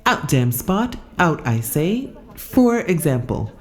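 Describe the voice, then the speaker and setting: A woman's voice: low-pitched, big pitch swings, slow, full character voice. A woman playing a precise, unflappable circus aide in an audio drama, with a formal, matter-of-fact delivery. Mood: factual. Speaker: voice actor. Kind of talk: scripted audio drama acting.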